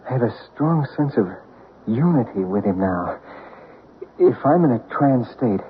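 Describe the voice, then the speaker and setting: An anxious homeowner, played by a man, talks in an earnest, hesitant way at a middle pitch. The old radio sound is tinny, with a faint hiss. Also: expressive, measured pace, natural voice. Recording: tinny 1970s radio broadcast with faint noise.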